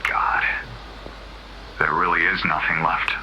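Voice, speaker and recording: A man playing a grave, wary squad leader in a horror video, speaking in terse, ominous, measured phrases. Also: deep voice, little pitch movement, bright, forceful tone, muffled recording, a little hiss or hum, heavily acted. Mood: bleak. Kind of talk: analog horror acting.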